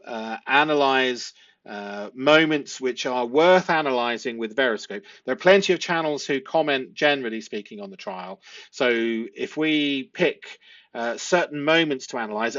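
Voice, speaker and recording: A man's voice: mid-range voice, fairly bright tone. Man analysing, dull lo-fi recording. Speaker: host analysing a police interview. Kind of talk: analytical commentary to viewers.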